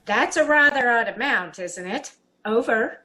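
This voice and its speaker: A man putting on a prim female credit clerk answering an office phone, crisp and formal, signing off each turn with "over". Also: very high voice, animated, a little slow, pushed and bright, pitched above the performer's own voice, exaggerated voice.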